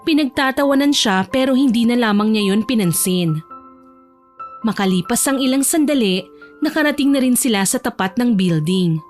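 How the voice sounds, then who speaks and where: A woman narrates a scripted story as its storyteller. Her voice is on the high side, with soft music underneath. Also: lively intonation, moderate pace.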